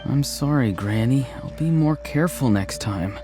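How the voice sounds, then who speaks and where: A man's voice: mid-range voice, expressive, moderate pace, over music, close to an everyday voice. A man playing a naive, courteous young man who speaks mildly and formally in a video game. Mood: apologetic. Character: earnest naive young man, courteous, apologetic, quaintly poetic.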